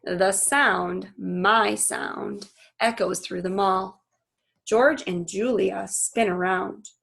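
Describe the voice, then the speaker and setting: Woman reading as an earnest gorilla, husky and unhurried. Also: mid-range voice, steady tone, fairly bright tone, heavily acted. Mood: solemn, intense. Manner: dramatic, short emphatic phrases. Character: earnest, anguished male gorilla narrating his own story.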